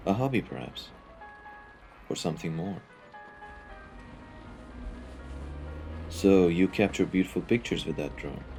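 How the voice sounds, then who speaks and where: A man voicing a cultured, calmly menacing mafia henchman in an ASMR audio roleplay, speaking in a measured, formal, eloquent way. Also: sits low. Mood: probing, ominous.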